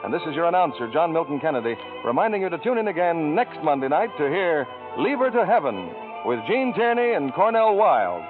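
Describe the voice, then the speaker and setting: A man announcing on a 1940s radio broadcast with a brisk, emphatic cadence. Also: a little high, very animated, narrow, tinny audio, music in the background.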